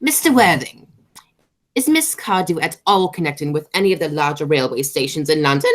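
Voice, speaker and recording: A woman's voice: low-pitched, animated, moderate pace. A woman playing a haughty, stern aristocratic mother and aunt in an amateur radio play, declaiming with snobbish authority.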